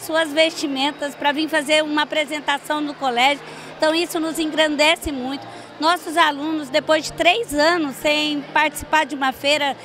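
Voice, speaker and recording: A woman's voice: high-pitched, a bit bright, slight background noise. An older woman interviewed at a school gym on a handheld mic, explaining earnestly with many fillers. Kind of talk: on-camera interview. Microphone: handheld reporter mic.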